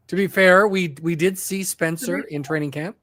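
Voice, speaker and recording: A man's voice: high-pitched, fairly bright tone, dry recording. A man co-hosting a sports podcast with casual, wry, joking banter. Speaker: podcast co-host.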